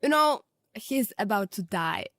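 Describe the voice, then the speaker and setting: A woman's voice: medium pitch, lively intonation, unhurried, fairly bright tone. A woman voicing a wry, teasing young woman, an elder sister in an audio drama, with a dryly musing manner.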